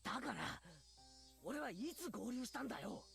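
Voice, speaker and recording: A woman's voice: fairly high pitch, very animated, fast talker, vocal fry, a bit bright, faint music behind, exaggerated voice. A woman voicing an intense, anxious teenage boy, the protagonist of a Japanese visual novel. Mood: insistent.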